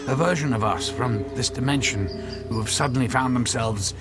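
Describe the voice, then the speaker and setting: An actor in a radio drama playing an anxious, conscience-stricken husband, hesitant, earnest and pleading. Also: male voice, medium pitch, moderate pace, over music, natural voice. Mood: anguished.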